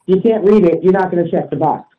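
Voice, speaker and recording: A man's voice: high-pitched, quick pace. A man in casual opinionated discussion, talking bluntly and insistently, with profanity, on a dull lo-fi line. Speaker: talk show participant.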